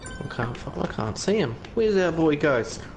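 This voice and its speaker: A man's voice: a little high, sing-song, measured pace, soft background music. Laid-back co-commentator man. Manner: laid-back, hesitant fillers. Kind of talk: live sim racing commentary.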